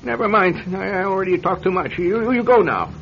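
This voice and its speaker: An actor playing a frightened, paranoid man in his seventies in a radio drama, agitated and halting as he mutters. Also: high-pitched, lively intonation, creaky. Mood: wary, sulky. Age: 70s. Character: frightened, paranoid seventy-year-old pensioner living alone. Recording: dull, noisy old radio recording.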